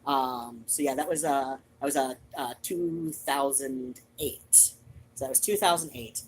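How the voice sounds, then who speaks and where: A woman hosting a show, chatting casually in an animated, digressive, freely swearing way. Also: very deep voice, unhurried, a little hiss or hum, poor audio. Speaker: host of a reading and chat show. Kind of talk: rambling casual personal chat.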